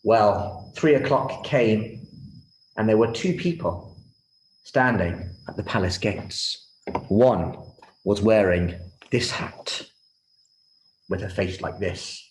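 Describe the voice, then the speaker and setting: A man telling a children's story in a theatrical, deliberate way, speaking straight to his listeners. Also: on the low side, a little hiss or hum. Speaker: rabbi and storyteller. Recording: roomy, echoing sound.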